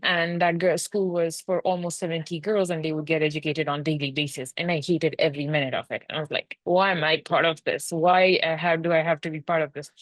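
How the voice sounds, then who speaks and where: A young woman from Afghanistan, an activist speaking on a panel video call, her voice muffled by a mask, candid and lively. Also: low voice, a little fast, hardly any pauses, dry recording. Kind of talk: virtual panel talk.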